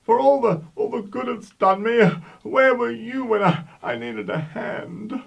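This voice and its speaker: A man voicing an aggrieved old mother in a radio soap, in a creaky falsetto, halting and melodramatic. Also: animated, lo-fi sound, heavily acted.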